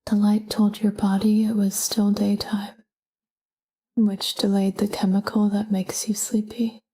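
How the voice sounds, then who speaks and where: A woman whispers a guided sleep meditation with a very breathy, airy voice. Her delivery is slow and calm, staying almost flat in pitch. She speaks gently, in short phrases with room between them.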